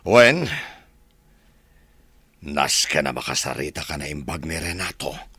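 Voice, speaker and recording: Man playing a very deep, raspy politician. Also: big pitch swings, unhurried, sharp tone, dry recording, exaggerated voice. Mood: brooding, agitated. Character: troubled, forceful male politician guarding his position. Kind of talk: Ilocano radio drama acting.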